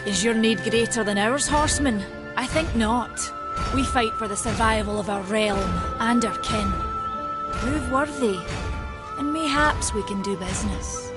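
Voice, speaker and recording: A woman's voice: a little high, a little slow, loud music behind the voice, close to an everyday voice. An actress playing a proud, steadfast female maker of forge folk in a video game, earnest and stately. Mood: firm, proud. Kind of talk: video game cutscene acting.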